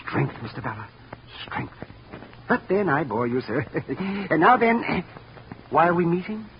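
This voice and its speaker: Pompous, excitable vault maker, swooping voice. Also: male voice, on the high side, measured pace. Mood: rapturous, courtly. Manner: pompous, formal, effusive, given to fluttery exclamations. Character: fussy, rapturous older male safe company president. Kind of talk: 1950s radio drama acting. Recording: muffled, noisy old radio recording.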